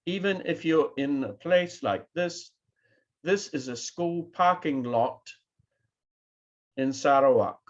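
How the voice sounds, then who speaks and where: Slow-spoken New Zealand man lecturing. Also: on the high side, expressive, highs cut off. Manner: addresses a live online audience, asks for reactions. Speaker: keynote speaker. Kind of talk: online conference keynote lecture.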